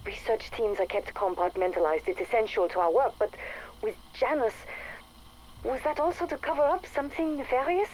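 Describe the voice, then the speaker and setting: Woman acting a sardonic, higher-voiced lady scientist. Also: darkish tone, natural voice. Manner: dry, blunt, exasperated. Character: bossy, bitter, sardonic female scientist doctor. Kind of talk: recorded audio log monologue. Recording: noisy audio log tape.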